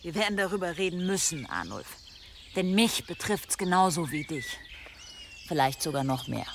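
A man's voice: a little high, very animated, moderate pace, vocal fry, a bit bright, a little hiss or hum, heavily acted. An actor playing an earnest, insistent man in serious, naturalistic audio drama dialogue. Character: earnest, insistent man pressing a companion to talk.

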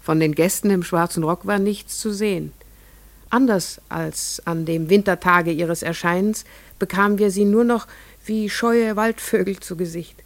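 Actress as an entranced farmer's wife, dry close sound. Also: female voice, mid-range voice, steady tone, a little slow, dry recording, lightly acted. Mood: wistful. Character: farmer's wife and mother, entranced, remembering strange ravens. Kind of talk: literary radio play monologue.

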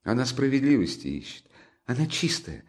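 A man's voice: medium pitch, lively intonation, measured pace, a little mellow, voice pushed up, natural voice. A timid young woman from a storybook reading, her voice lifted well above a man's natural register and breaking into anxious, pleading bursts. A man puts her on as he reads aloud. She sounds fragile and earnest. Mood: distressed. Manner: pleading, anxious, breathless outbursts. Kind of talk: audiobook character reading.

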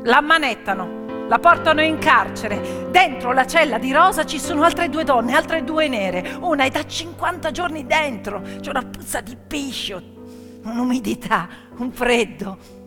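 A woman performing a dramatic theatrical narrative, with emphatic, deliberate phrasing in short sentences. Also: sits high, lively intonation. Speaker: stage storyteller and actress. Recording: long reverberant hall, piano music behind. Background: piano accompaniment.

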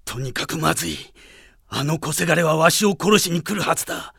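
An actor playing an old general, fearful and blustering, with a gravelly, husky voice, in a radio drama. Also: male voice, fairly high pitch, a little fast, fairly bright tone, no echo, clean studio sound, exaggerated voice. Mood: anxious brooding. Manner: old man's speech, agitated.

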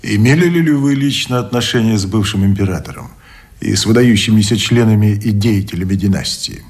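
A plain-spoken interrogator in a radio play. The voice is low and husky. He sounds like one official on a questioning panel. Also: expressive, brisk, a little mellow. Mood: inquiring. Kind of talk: Russian radio drama acting.

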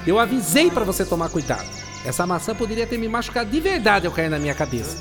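A woman's voice: medium pitch, sing-song, fast talker, lightly acted. Woman doing grumpy aloof science guy, formal n curt, radio play, big reverb w music. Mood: irritated. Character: Isaac Newton, grumpy, aloof, brilliant male scientist. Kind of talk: radio drama acting. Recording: long reverb, music behind.

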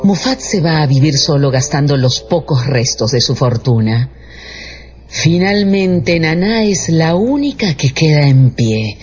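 A man narrating a radio drama as a scripted, dramatic storyteller. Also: a little high. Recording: dull radio production with music bed.

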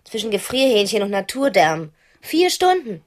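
An actress playing a restless, quick-witted wife who bluffs her way along, cool and sardonic, in a radio play. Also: mid-range voice, sing-song, lightly acted. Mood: brazen, indignant. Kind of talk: 1990s German radio play acting.

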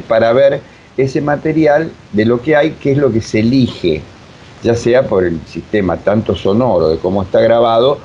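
A man with a Buenos Aires Argentine accent, a drama director explaining his work in an interview in a digressive, emphatic way. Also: darkish tone. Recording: dull, noisy lo-fi audio with rumble.

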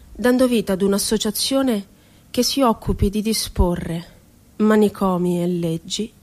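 A woman's voice: mid-range voice, a little mellow, close to an everyday voice. An actress playing an ironic, wounded woman poet confined in an asylum, telling her story expressively in a radio theatre monologue. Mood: hushed, bitter irony.

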